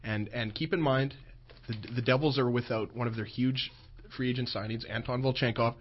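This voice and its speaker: A man co-hosting a sports radio show, joking around and giving animated hockey opinions. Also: mid-range voice, fairly quick, a little hiss or hum. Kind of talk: casual sports radio talk. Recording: dull lo-fi broadcast with faint music.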